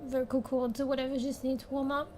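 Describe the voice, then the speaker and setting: A young woman streamer, a little raspy today, chatting casually at home into a desk mic, rambling, laughing a lot and swearing now and then. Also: sits high, level tone, quick pace, slight background noise. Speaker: game streamer. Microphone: desk microphone with fan blowing on it. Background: soft background music.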